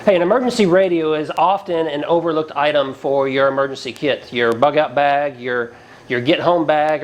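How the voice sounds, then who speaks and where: A man's voice: a little high, slight background noise, poor audio. A man giving a casual, plainspoken product review to camera, explaining features as he goes, recorded inside a garage. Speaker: product reviewer, channel host. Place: inside a cinder-block garage.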